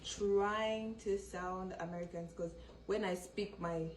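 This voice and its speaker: A woman's voice: medium pitch, steady tone, slow pace, echoey room, slight background noise. A woman with a Zambian accent talking to camera in a sassy, playful way. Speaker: vlogger. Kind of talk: casual chat to camera.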